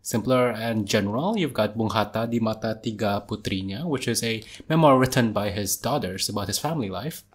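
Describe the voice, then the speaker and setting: A man's voice: fairly low pitch, a little fast, hardly any pauses, breathy edge. A man from Indonesia co-hosting a podcast in a casual, encouraging way. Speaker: podcast co-host.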